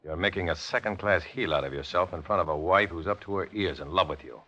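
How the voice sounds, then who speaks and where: An actor playing a tough, wry undercover agent in a 1950s radio noir drama, with clipped delivery. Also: male voice, low voice, quick pace, sharp tone, muffled recording. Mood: scornful, tough. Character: hard-boiled male undercover agent posing as communist. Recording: band-limited old radio recording with music.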